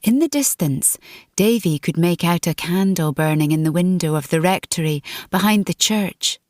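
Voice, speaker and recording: A woman reading dramatic fiction as an audiobook narrator, in a measured, deliberate storytelling manner. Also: lowish voice, lively intonation, a little mellow, no room sound, clean studio sound.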